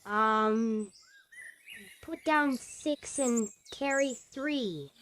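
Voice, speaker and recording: A man's voice: very high voice, extremely slow, fairly bright tone, slight background noise, pitched above the performer's own voice, heavily acted. A man voicing a timid, frightened young schoolboy in an audio drama, hesitant, meek and pleading. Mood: timid, scared.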